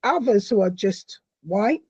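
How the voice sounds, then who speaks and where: A woman from South America hosting a podcast interview, stopping and restarting her phrases and breaking into bright, lively exclamations. Her voice has a husky edge. The sound is dry and somewhat dull. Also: medium pitch, no room sound. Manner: halting, repeats words, animated exclamations. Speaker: podcast host. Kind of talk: conversational interview.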